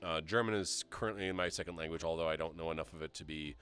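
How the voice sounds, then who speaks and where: A man hosting a casual live-stream talk show, reading viewer questions aloud in a relaxed, rambling way. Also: extremely low pitch, quick pace. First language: English. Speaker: show host.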